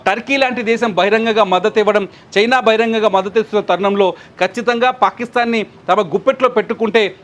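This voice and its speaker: A man's voice: sits high, fast talker. A man giving news analysis as a commentator, explaining and repeating points for emphasis.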